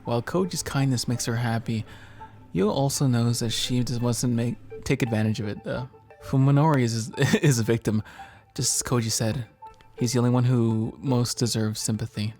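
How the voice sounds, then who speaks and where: A man's voice: mid-range voice, fairly even intonation, normal speed, darkish tone, soft background music. A man in casual gaming chat, reading the story aloud and breaking off to joke and swear. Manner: jokey, informal, swearing. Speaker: gaming video co-host. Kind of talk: casual chat and reading aloud while playing a visual novel.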